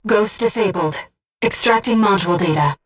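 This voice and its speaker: A woman voicing an earnest, gentle guide who speaks inside a pilot's head in a mecha video game, in measured scripted delivery. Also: mid-range voice, slightly rough, far from the mic, lightly acted, rough recording. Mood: focused briefing. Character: soft-spoken, earnest female voice in a pilot's head, loyal guide. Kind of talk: scripted video game voice acting, tactical callouts.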